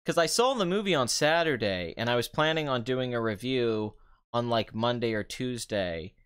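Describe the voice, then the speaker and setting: A man's voice: mid-range voice, lively intonation, moderate pace, fairly bright tone, clear, resonant tone. A young man reviewing a film on a live stream in loose, rambling chat, his voice stuffy, nasal and a little trembly from a sore throat. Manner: rambling, many fillers and restarts. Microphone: desk mic with compression.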